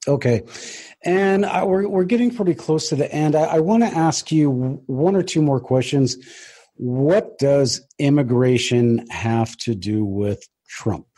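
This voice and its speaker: Guy hosting a book interview, mellow n husky, casual questions. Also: on the high side, animated, a little slow. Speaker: host of a book interview show.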